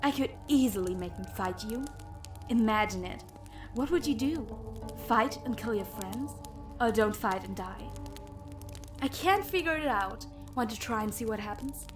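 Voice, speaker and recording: A woman's voice: on the high side, animated, moderate pace, lightly acted. A woman playing a cruel, mocking female villain in an audio drama, with theatrical menace and music behind her. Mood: cold menace. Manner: taunting, theatrical.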